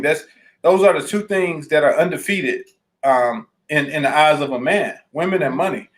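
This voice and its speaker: Higher voiced guy co-hosting a podcast, blunt and opinionated, talks real casual and slangy. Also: fairly bright tone. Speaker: podcast co-host. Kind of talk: casual conversation.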